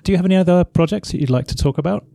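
A man's voice: sits high, rapid-fire, vocal fry, warm tone, dry recording. Male podcast interviewer, dry close sound. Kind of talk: conversational interview.

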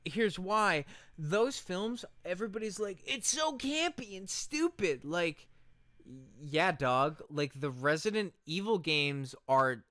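Animated, bright-voiced man ranting casually. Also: high-pitched, takes it slowly, no room sound, studio quality. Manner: emphatic, profane, argumentative. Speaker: podcast co-host. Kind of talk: casual chat, opinionated ranting.

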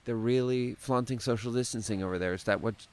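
A man's voice: medium pitch, brisk, a little mellow. Laughing rambling man from Sweden. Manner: laughs often, rambling anecdotes. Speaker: podcast host. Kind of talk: casual chat.

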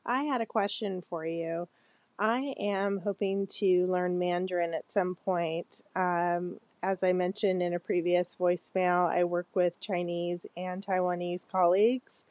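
Slow, hesitant woman leaving a voicemail. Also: lowish voice, fairly even intonation, darkish tone, dry recording. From United States. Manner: hesitant, many fillers. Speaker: voicemail caller. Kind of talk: recorded voicemail question.

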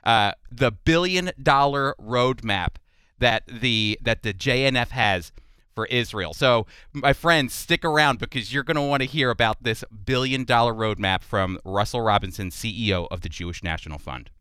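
Guy hosting a radio interview, bright crisp voice, real enthusiastic, dry studio sound. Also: mid-range voice, normal speed, no room sound.

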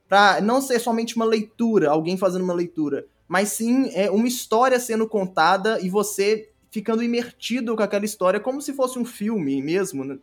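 A Brazilian man talking casually as an interview guest, explaining things step by step with examples. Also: sits high. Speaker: podcast guest, audio drama creator.

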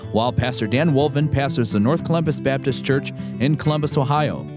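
A man announcing a scripted podcast introduction in a medium-pitched voice.

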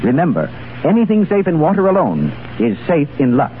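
Polished, animated 1930s male radio announcer. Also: a little high, brisk. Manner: polished, salesy delivery. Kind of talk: 1930s scripted sponsor announcement. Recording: tinny, hissy 1930s radio recording.

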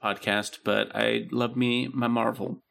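A man's voice: medium pitch, level tone, unhurried, creaky, fairly bright tone. A young man co-hosting a podcast, chatting in a laid-back, rambling way with plenty of ums. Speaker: podcast co-host. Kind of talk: casual chat.

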